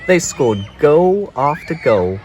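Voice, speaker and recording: A storybook narrator reading aloud for young children in an expressive, scripted storytelling style. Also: mid-range voice, slow, highs cut off, some chatter behind, a little hiss or hum.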